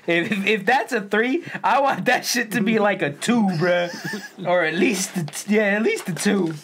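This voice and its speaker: A man's voice: sits high, unhurried, a bit bright, slight background noise. A man co-hosting a casual podcast, joking in a slangy way. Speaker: co-host.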